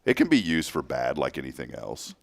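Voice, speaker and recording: A man hosting a morning radio show in casual chat, sounding groggy and rough, rambling and restarting his sentences. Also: a bass voice, wildly swooping pitch, brisk, no room sound. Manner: rambling, self-interrupting, chuckling.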